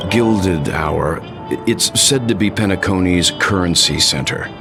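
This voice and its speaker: An actor voicing a composed, polite adult male traveler in a game, asking questions calmly over music. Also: deep voice, unhurried. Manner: polite, calm, inquiring. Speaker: ranger companion asking questions. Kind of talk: game voice acting. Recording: game audio with clear music behind.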